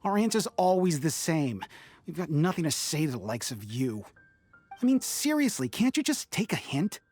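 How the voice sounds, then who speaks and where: Blunt, animated townsman. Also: fairly high pitch, normal speed, faint music behind. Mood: exasperated. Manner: blunt, indignant. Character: exasperated, blunt ordinary townsperson. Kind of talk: video game voice acting.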